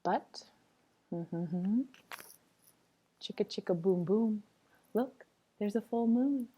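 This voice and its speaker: A woman's voice: medium pitch, slow pace, slightly rough, muffled recording. A woman reading a picture book aloud like a teacher, with a sing-songy, playful, dramatic delivery and chatty asides.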